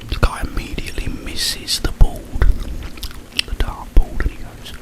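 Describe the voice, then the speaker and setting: A man hosting ASMR, rambling through a story in a hushed, halting delivery with long pauses. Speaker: ASMR host. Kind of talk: whispered ASMR ramble, retelling a film.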